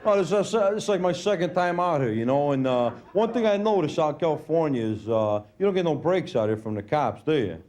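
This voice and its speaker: A middle-aged man with a Brooklyn accent doing a laid-back, deadpan stand-up set, full of "you know" and "uh" fillers. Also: fairly high pitch, a little fast, hardly any pauses. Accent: Brooklyn New York. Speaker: stand-up comedian. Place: comedy club stage with laughing audience. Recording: live club recording with audience laughter and room noise.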